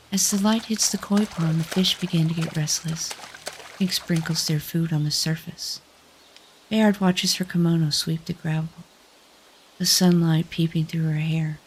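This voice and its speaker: A woman's voice: low voice, slight background noise, poor audio. A woman reading scripted fantasy narration as the narrator of an audio drama. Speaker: narrator and lead actor.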